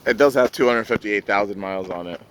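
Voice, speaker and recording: A man's voice: lowish voice, fast talker. A man hosting an informal car chat, laid-back and slangy, with some swearing. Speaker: car channel host. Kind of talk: casual chat, informal interview. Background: faint vehicle and ambient noise.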